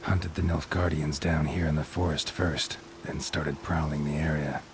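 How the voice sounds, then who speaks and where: An actor playing a gruff, world-weary and terse monster hunter in a video game, speaking in a deadpan, gravelly murmur. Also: male voice, a bass voice, moderate pace, over loud music. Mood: grim, observing. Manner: deadpan, terse, clipped sentences.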